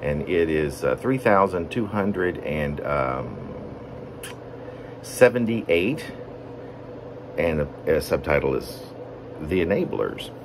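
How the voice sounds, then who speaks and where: Man, deep voice, poet reading, animated intonation, deliberate, wordy, mid-phrase pauses, slight background hum. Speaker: poet reading own work. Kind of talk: poetry reading, rambling semi-narrative monologue.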